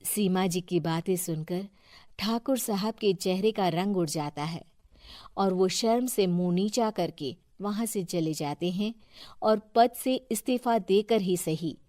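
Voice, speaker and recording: A woman's voice: medium pitch, a little slow, warm tone, no room sound, clean studio sound. A woman narrating a cartoon story from a script.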